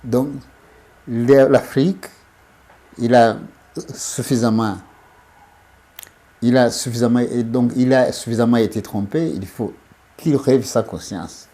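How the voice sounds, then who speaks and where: A man speaks emphatically, restarting and repeating phrases as he builds a point. His voice is mellow and dark, at a middle pitch. He sounds like a guest in an interview. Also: steady tone, slow pace, long pauses. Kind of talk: interview, political commentary.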